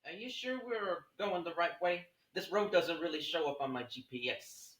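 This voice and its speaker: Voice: medium pitch, moderate pace, lightly acted. A performer reading a wary night-time driver in a screenplay table read, over a very noisy mic. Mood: doubtful.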